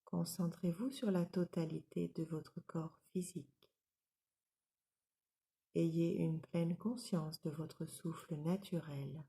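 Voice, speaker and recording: A woman leading a guided yoga nidra relaxation as an instructor, giving calm, soothing, measured instructions. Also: lowish voice, vocal fry.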